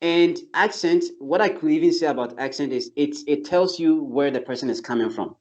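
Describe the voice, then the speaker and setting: A man's voice: on the high side, fairly quick. A man who teaches English, explaining his tips step by step in casual talk, often restarting his sentences. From not from Turkey. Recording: slightly dull lo-fi sound.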